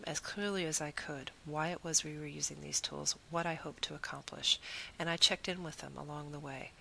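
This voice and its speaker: A woman's voice: low-pitched, gravelly, pushed and bright, a little hiss or hum, low-quality recording. A woman who teaches Spanish, giving an earnest, reflective talk about her teaching and piling up long lists of phrases.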